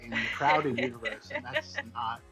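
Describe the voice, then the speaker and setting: A man answering interview questions in a casual, halting, self-deprecating way, thinking out loud as he rambles along. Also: mid-range voice, wildly swooping pitch, over music. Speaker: comic book writer being interviewed.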